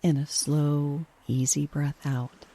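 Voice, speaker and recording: A woman with a soft, breathy, hushed voice gently and soothingly narrating a guided sleep meditation, unhurried throughout. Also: low-pitched, flat delivery, slight background noise.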